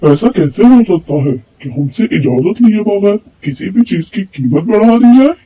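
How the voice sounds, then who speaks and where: Man playing a husky, commanding cartoon king. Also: high voice, animated, moderate pace. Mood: stern command. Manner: formal, regal, commanding. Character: authoritative king, commanding, concerned for his subjects. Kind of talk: Urdu moral cartoon story dialogue. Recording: tinny phone-like sound, music bed.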